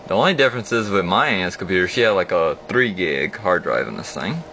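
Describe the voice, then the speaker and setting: A man's voice: low voice, expressive, brisk. A young man in a casual, rambling walkthrough of an old computer, explaining as he clicks, recorded on a phone at a home desk. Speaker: vlogger showing an old computer. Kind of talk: casual off-the-cuff screen walkthrough. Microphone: phone camera held at the screen. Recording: dull lo-fi phone audio with hum.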